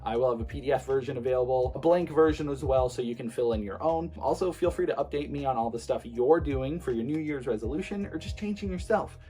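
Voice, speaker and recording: A young man of average pitch chats loosely to his viewers, rambling through plans with lots of fillers and false starts. Faint music plays quietly under his voice. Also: quick pace, few pauses. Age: 20s. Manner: relaxed, rambling, many fillers and restarts. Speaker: streamer and vlogger. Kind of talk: casual talk to camera.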